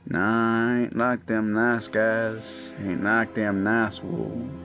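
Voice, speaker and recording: A man voicing a menacing Cajun werewolf of the swamps, with a Cajun accent and a slow, taunting drawl. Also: on the low side, flat delivery, creaky, a bit bright, heavily acted. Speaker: villain in audio drama. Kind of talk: horror audio roleplay. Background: faint eerie music and crickets.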